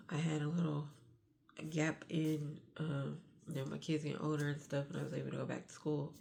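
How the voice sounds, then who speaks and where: Middle-aged woman, stuffed-up nose, gravelly low voice, speaks hushed and candid. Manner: hushed early-morning talk, sighs and restarts. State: stuffy nose, getting sick. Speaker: vlogger. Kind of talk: confessional vlog.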